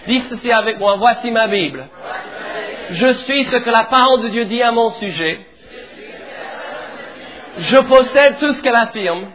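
A middle-aged man from England, a pastor preaching a sermon in French with an English accent, leading the congregation in animated declarations. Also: extremely high pitch, measured pace, pauses often, a faint crowd. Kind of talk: sermon in church. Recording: tinny phone-like sound with faint music.

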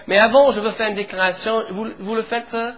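English-accented man preaching, high voice. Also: fairly quick. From England. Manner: animated preaching, leads the congregation. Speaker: pastor. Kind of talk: sermon in church. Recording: tinny phone-like sound with faint music.

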